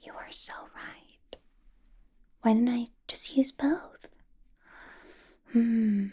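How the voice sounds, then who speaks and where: A woman playing a doting, possessive female vampire boss in an intimate ASMR roleplay, coaxing and flirting in a breathy whisper. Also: on the high side, very slow, dark tone, heavily acted. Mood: adoring, flirtatious. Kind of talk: ASMR roleplay, personal attention.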